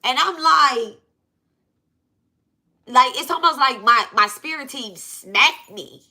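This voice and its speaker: Bright-voiced, blunt woman in her thirties. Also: on the high side. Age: 30s. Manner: blunt, emphatic, repetitive, profane. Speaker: spiritual reader and channel host. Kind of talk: casual venting vlog talk.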